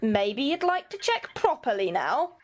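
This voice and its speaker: Bossy, cutting female passenger woman acting, radio play; very high, animated, takes charge. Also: a little slow, heavily acted. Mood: exasperated. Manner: stern, cutting.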